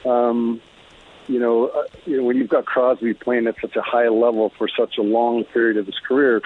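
A middle-aged man with a Canadian accent and a husky voice, reminiscing casually as an interview guest and former hockey player. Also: mid-range voice, level tone, normal speed. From Alberta, Canada. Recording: dull phone-like lo-fi sound.

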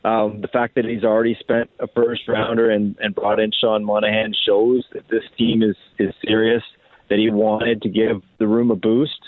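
A man's voice: on the low side, little pitch movement, moderate pace, no echo. A man talking sports analysis as a radio guest over a phone line, often repeating and restarting his phrases. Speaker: hockey writer, interview guest. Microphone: phone call. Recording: tinny, narrow phone-line sound.

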